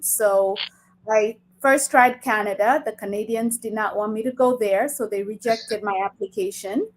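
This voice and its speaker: Kenyan woman, slightly high voice, explaining emphatically. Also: low-quality recording. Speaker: interview guest, coach. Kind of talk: conversational interview answers.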